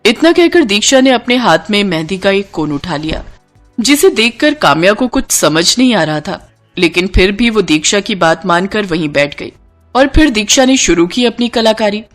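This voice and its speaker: A woman's voice: mid-range voice, sing-song, normal speed. Woman narrating a cartoon story over music.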